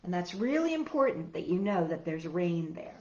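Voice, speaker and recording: A woman hosting a children's storytime, gently chatting and reading aloud to young viewers with encouraging little questions. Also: fairly low pitch, sing-song, moderate pace, a little hiss or hum. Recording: roomy echo, slightly dull sound, guitar music.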